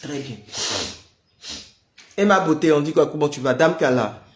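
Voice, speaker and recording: A man's voice: a little high, moderate pace, echoey room. A man with the flu, leading a prayer livestream and chatting casually with viewers, greeting them by name. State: has the flu. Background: noise of building work.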